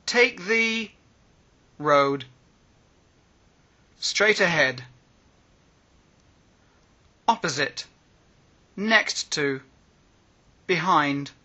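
A man reads travel phrases aloud, one at a time, at a very slow, deliberate pace. His voice is high and very bright, with a lively, rising and falling delivery. A faint huskiness sits under the tone. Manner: reads each phrase slowly with pauses. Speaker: language teacher.

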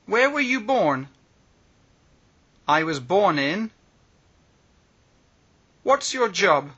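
Brief: male phrasebook reader, very slow, high and lively, slightly husky. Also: highs cut off. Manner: reads each phrase slowly with pauses. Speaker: language teacher.

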